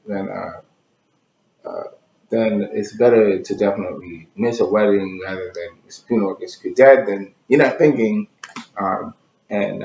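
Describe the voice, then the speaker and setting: Low-voiced man answering slowly. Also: vocal fry, a little mellow, slight background noise. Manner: slow, thoughtful, with pauses. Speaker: guest. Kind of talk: casual conversation. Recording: roomy, dull lo-fi sound.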